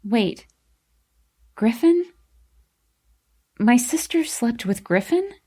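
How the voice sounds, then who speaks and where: A wounded young woman played by a female narrator fights with her lover in an audiobook scene. She is accusing, emotional and quick to flare, her voice pushed up high. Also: lively intonation, mellow, slightly rough, full character voice. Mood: stunned.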